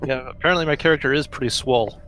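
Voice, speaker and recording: A man with a medium-pitched voice is playing a tabletop game. He talks in an informal way, thinking out loud as he plans with the group. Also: rapid-fire, soft background music, rough recording. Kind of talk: casual group game chat.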